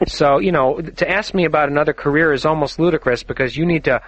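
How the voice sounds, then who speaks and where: A man's voice: fairly high pitch, quick pace, fairly bright tone. A man hosting a call-in astrology radio show, talking confidently and chattily and laughing often.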